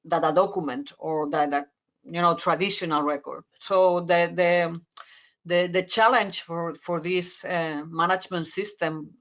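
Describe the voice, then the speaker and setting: A woman's voice: fairly low pitch, steady tone, a bit bright. A woman from Spain with a Spanish accent, a native Spanish speaker, answering as an expert panelist and searching for words with frequent repetitions. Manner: halting, word-searching explanation with repetitions. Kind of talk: conference panel discussion.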